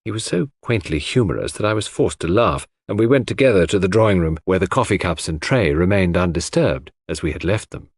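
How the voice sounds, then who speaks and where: A man's voice: low voice, animated, a little fast, hardly any pauses, breathy edge, dry recording, clean studio sound. A man reading scripted literary fiction as a dramatic audiobook narrator.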